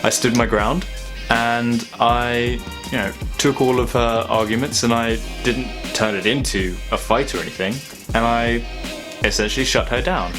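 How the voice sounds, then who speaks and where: A young man talking casually and reflectively in a vlog, thoughtful and halting with long pauses. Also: mid-range voice, steady tone, music in the background. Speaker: vlogger.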